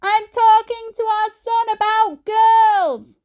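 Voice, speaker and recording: A woman's voice: very high voice, steady tone, slow, a little mellow, voice pushed up. A woman voicing a well-meaning, nosy mum in a children's story, with a sing-song, insistent manner. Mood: shouting upstairs.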